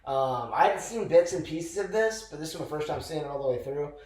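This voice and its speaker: A man hosting a casual movie review podcast, relaxed and rambling, often laughing. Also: on the high side, fairly quick. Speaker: podcast host. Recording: echoey room.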